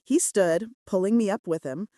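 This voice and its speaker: A woman's voice: mid-range voice, normal speed, dark tone, dry recording, clean studio sound. A woman reading scripted first-person romance fiction as a story narrator. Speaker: audiobook narrator.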